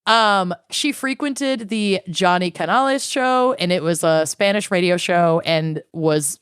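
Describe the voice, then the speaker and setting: Chatty low-voiced woman, smooth and dry. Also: dry recording. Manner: chatty, swears casually, uses filler "like". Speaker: podcast co-host. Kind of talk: casual storytelling chat.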